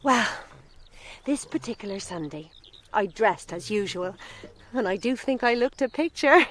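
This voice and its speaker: A woman's voice: medium pitch, lively intonation, measured pace, a bit bright, slight background noise. An actress playing a chatty, scatterbrained middle-aged country mother in a radio drama, fussing and gushing in a bossy, motherly way. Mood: reminiscing.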